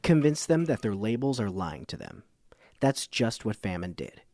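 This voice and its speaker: A man's voice: fairly low pitch, sing-song, normal speed, a little mellow, no room sound, studio quality. A man playing an earnest documentary radio host, delivering scripted narration. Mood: measured, storytelling.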